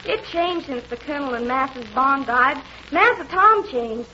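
A frightened, pleading maidservant in an old radio drama. Her voice is very high and piercing, with timid dialect speech. It comes through a dull old recording. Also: animated, normal speed, sharp tone. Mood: fearful, warning. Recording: muffled, noisy old radio broadcast.

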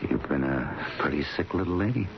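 An American man acting in a 1970s radio drama as a calm, authoritative doctor with a measured, reassuring manner. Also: sits low. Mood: gentle, uneasy. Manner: measured, reassuring, hushed at times. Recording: muffled, noisy old radio broadcast.

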